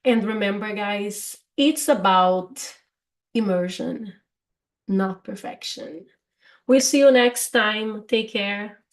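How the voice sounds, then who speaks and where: A woman from Brazil with a Brazilian Portuguese accent, co-hosting a casual English lesson podcast and laughing often between her points. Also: medium pitch. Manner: laughs often, slow and careful. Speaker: English teacher and co-host.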